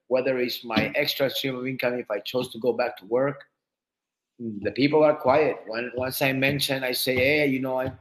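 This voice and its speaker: A man on a group call giving halting encouragement, often repeating words as he restarts his sentences. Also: medium pitch. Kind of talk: casual encouraging talk. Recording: echoey room.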